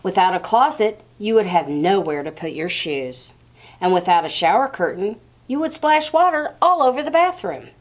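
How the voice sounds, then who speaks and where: A woman voicing the calm, mysterious Dark. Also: medium pitch, moderate pace, pitched above the performer's own voice, lightly acted. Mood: gentle explaining. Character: the Dark, a calm mysterious living darkness beckoning a child. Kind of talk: children's storybook read-aloud.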